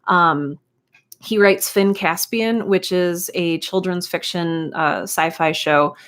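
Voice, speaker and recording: A woman's voice: fairly low pitch, slow pace, a bit bright. A woman hosting a live stream, chatting casually and encouragingly as she greets viewers and reads out comments. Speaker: live stream host and writing teacher.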